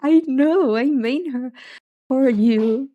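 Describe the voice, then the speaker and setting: A soft-voiced woman livestreamer chatting casually in a relaxed, wry way as she reacts and muses aloud. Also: sits high, takes it slowly, muffled recording. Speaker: livestreamer, vtuber.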